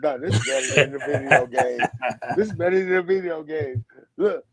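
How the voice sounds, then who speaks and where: A man's voice: a little high, steady tone, normal speed, over a noisy crowd. A man in his forties who grew up in Brooklyn, talking in a rambling, slangy way as a guest. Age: 40s. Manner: rambling, slangy, repeats "you heard?" and "for real". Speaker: guest, teacher and author. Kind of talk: casual spiritual conversation.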